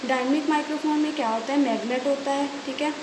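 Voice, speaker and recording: A woman's voice: sits high, fairly even intonation, quick pace. A woman with an Indian accent giving a lecture as an electronics teacher, reading out notes and explaining them, often checking in with short tags. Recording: echoey room with hiss and noise.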